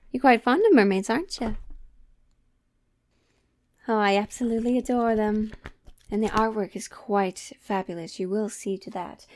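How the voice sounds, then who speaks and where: A woman playing a coy, theatrical witch who reads tarot, teasing and mysterious, coaxing the listener with dramatic pauses in an audio roleplay. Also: a little high, a little mellow. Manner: slow, coaxing, endearments, dramatic pauses, breaks into near-whispers. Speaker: tarot reader.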